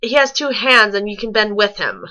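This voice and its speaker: A woman's voice: medium pitch, expressive, moderate pace, pushed and bright, highs cut off. A woman reading a story aloud, stumbling and rereading lines as she goes and dropping in mocking asides. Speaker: reader on a fan fiction reaction show.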